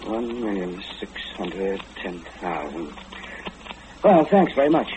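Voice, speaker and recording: Polite, lively-voiced radio burglar. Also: male voice, deep voice, slow pace, heavily acted. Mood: polite, businesslike. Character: polite male burglar posing under a false name. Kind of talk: old-time radio drama acting. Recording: noisy old radio recording.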